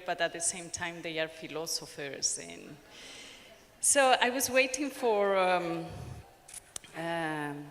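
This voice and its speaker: A woman with an Ecuadorian accent speaks slowly and deliberately at a conference. She pauses often and restarts her sentences. Her voice carries a long reverb from a large lecture hall. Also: lowish voice, fairly bright tone, a little hiss or hum. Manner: halting, with frequent pauses and restarts. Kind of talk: academic conference talk.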